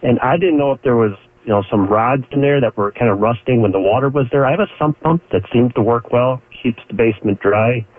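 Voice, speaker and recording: A man's voice: mid-range voice, brisk, hardly any pauses. A man calling in to a radio show, asking a question plainly and a little unsure. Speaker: caller. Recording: tinny phone line.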